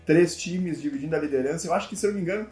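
Brazilian guy cohosting a football podcast, excited and rambly, echoey room. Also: a little high, very fast, faint music behind. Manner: chatty, enthusiastic, many fillers. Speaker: podcast co-host. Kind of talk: casual football podcast chat.

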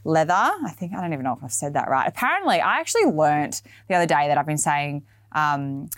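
Chatty low-voiced Australian woman. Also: very animated, a little fast, dry recording, studio quality. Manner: chatty, enthusiastic, lots of likes and ums. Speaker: fashion podcast host. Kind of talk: casual product walkthrough.

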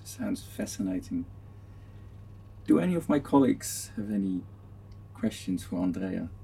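A man who is a professor of communication, hosting an academic meeting and then explaining his research in a measured way, often repeating words as he restarts. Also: lowish voice, very animated, gravelly, mellow, slight background noise. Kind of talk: casual academic online meeting.

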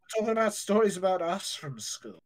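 A man's voice: sits high, big pitch swings, a little fast. A young man co-hosting a casual chat podcast, jokey and giggly as he swaps anecdotes. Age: young adult. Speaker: podcast co-host.

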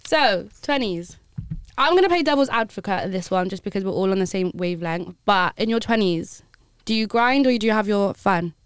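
A woman's voice: medium pitch, expressive, fairly quick, clean tone, dry recording, studio quality. A woman of twenty-one hosting a podcast in casual chat, playful and teasing, laughing often. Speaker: podcast co-host.

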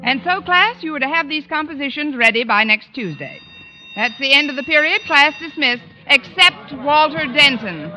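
An actress playing a quick-witted high school teacher in an old-time radio comedy, delivering dry, sardonic wisecracks. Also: female voice, animated. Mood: brisk, dry. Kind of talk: 1940s-50s radio comedy acting. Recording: muffled old radio recording.

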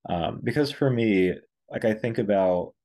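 A man's voice: fairly low pitch, measured pace, dark tone. A man hosting an interview, asking questions in a searching way with many fillers. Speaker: interviewer, host.

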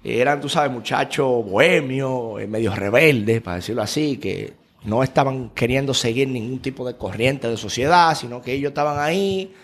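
A man's voice: mid-range voice, moderate pace. Dominican man telling stories casually. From Dominican Republic. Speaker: interview guest telling travel stories. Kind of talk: casual conversational storytelling.

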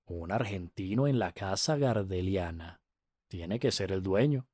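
A man's voice: low voice, animated, unhurried, mellow, no echo, studio quality. A man voicing a polite, thoughtful male museum visitor in a storybook reading. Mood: musing.

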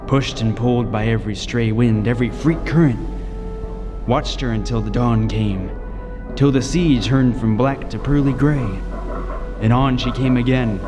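A man's voice: mid-range voice, natural voice. A man acting a suspenseful, reverberant lighthouse-keeper narrator. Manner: vivid, suspenseful first-person storytelling. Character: tense male lighthouse keeper recounting his own harrowing tale. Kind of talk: 1940s-style radio drama narration and acting. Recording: long reverb with music underneath.

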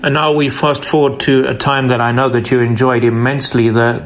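A male interviewer with a mid-range voice who frames his questions conversationally and leads his guest through memories. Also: mid-range voice. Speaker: interviewer, host.

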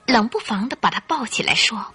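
A woman's voice: fairly high pitch, animated, a little fast, sharp tone, breathy edge, soft background music. A woman narrating a Mandarin radio drama as a dramatic storyteller.

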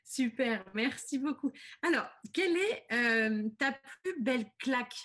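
A woman's voice: high-pitched, expressive, measured pace, a bit bright. A woman interviewing a guest in a casual chat, friendly, thanking him and adding short comments.

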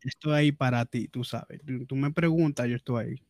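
A man's voice: medium pitch, brisk, darkish tone, no room sound, clean studio sound. A man chatting casually as a podcast guest, slangy and jokey, peppering his Spanish with English fillers. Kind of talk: casual bilingual chat.

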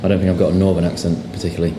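Young English man, southern English accent, low voice, easygoing interview talk, echoey noisy bar. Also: very fast. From England. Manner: relaxed, chatty, repeats words as he talks. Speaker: guest sign writer. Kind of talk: casual interview chat. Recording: echoey bar with loud noise.

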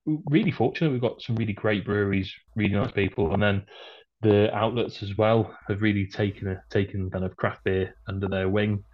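Podcast guest, Welshman from the north, deep-ish voice, talks in loose restarts over a muffled recording. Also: brisk. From North Wales. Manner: rambling, frequent restarts and fillers. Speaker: brewery guest. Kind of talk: casual podcast chat. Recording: dull lo-fi sound.

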